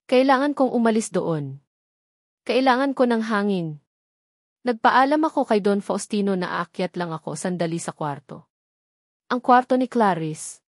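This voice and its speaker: A woman narrates a scripted dramatic story in the first person. Her voice sits at a medium pitch, and the recording is dry and close, with little room sound. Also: no room sound.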